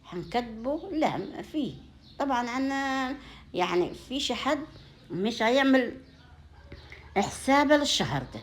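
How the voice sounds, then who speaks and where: A woman's voice: fairly high pitch, very animated, a little slow, long pauses. A woman with an Upper Egyptian Sa'idi accent chatting casually to her viewers in a home vlog, in a folksy way full of blessings and endearments. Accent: Upper Egyptian (Sa'idi) Arabic. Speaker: home vlogger.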